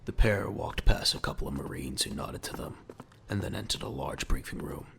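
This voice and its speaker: Very deep, gravelly male narrator. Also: animated, moderate pace, noisy background. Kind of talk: dramatic scripted audio drama narration.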